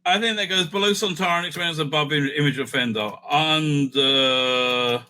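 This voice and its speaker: A man hosting a casual, off-the-cuff ranking commentary, animated and thinking aloud with frequent asides. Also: on the high side, moderate pace. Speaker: host ranking TV serials.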